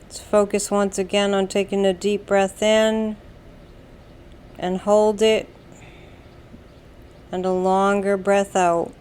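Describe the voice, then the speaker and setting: Very slow, smooth American woman guiding meditation. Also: mid-range voice. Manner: calm, soothing, deliberate instruction with long pauses. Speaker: guided meditation leader. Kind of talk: slow guided meditation narration.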